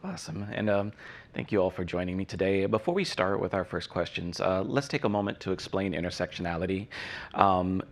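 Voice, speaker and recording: A man's voice: low-pitched, brisk. A man moderating a panel discussion, hesitant and filling with many ums as he reads prepared remarks.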